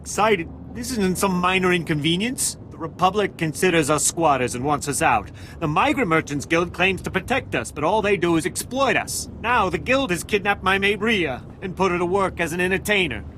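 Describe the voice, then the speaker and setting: A man's voice: high voice, lively intonation, normal speed, few pauses, sharp tone, slight background noise, low-quality recording. A man playing an aggrieved, impassioned alien citizen in a video game, with formal wording. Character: desperate, indignant male alien pleading for his mate. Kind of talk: video game dialogue acting.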